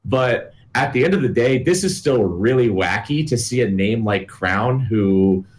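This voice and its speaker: A man's voice: medium pitch, lively intonation, a little slow. A man co-hosting a podcast, talking earnestly in a casual analytical chat, hedging often with filler words.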